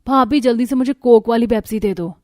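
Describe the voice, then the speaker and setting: Bossy young sister-in-law, played by a woman, high-pitched, haughty, for animated family story. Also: fairly quick, close to an everyday voice. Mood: demanding.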